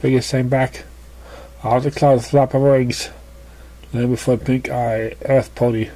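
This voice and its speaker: A man reading scripted story narration, somewhat haltingly, stumbling over words now and then. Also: medium pitch, level tone, mellow, low-quality recording. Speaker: story reader.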